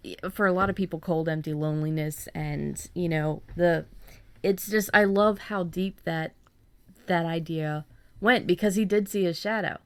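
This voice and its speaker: Podcast co-host: lower-voiced woman, effusive, loose, rambling talker, recorded dry without room sound. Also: fairly even intonation, normal speed, clear, resonant tone, dry recording. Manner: informal, effusive, rambling, mildly sweary. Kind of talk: casual chat.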